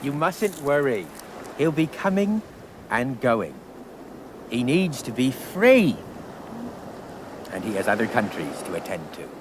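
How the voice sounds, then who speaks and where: An actor playing a gentle, wise, reassuring friend in a radio drama, speaking earnestly. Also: male voice, medium pitch, sing-song, slow, long pauses, background noise. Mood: gentle reassurance.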